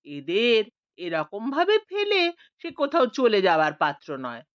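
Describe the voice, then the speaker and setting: A woman voicing a quiet, thoughtful village man who speaks earnestly in a Bengali audio story. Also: mid-range voice, huge pitch swings, unhurried, no echo, highs cut off.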